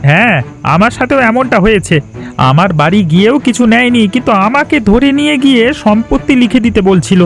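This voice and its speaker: A man doing a high gravelly cartoon friend. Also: lively intonation, fast, faint music behind, exaggerated voice. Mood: urgent. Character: kidnapped male friend, frightened and urgent.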